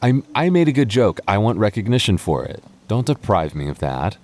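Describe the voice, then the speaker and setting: Casting: young goth boyfriend played by a man, low voice, protective and teasing but easily flustered, stammering delivery, intimate ASMR roleplay. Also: very animated, a little mellow. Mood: playful, teasing. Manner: stammering, halting, earnest delivery.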